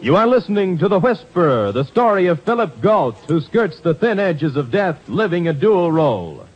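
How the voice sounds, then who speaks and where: A man's voice: fairly high pitch, sing-song, normal speed. A man narrating a crime drama as announcer, in dramatic scripted 1950s radio style. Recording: muffled 1950s radio recording with music.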